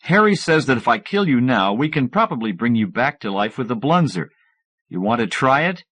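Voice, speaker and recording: Excitable male mad scientist, played by a male narrator: pushy, defiant, emphatic, pitched up, audiobook dialogue. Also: normal speed. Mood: pushy, threatening. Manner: emphatic, agitated.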